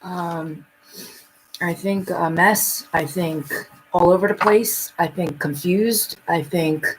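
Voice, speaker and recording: A woman speaking casually and haltingly as a psychic reader in a live chat, often repeating her phrases. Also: fairly low pitch, steady tone, poor audio.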